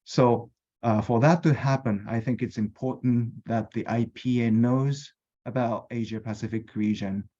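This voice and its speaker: A middle-aged man from Japan with a Japanese accent, presenting himself as a board candidate on a webinar, pausing often with many fillers. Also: mid-range voice, fairly even intonation, mellow. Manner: hesitant, many pauses and fillers. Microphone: video call. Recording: dull lo-fi video call audio.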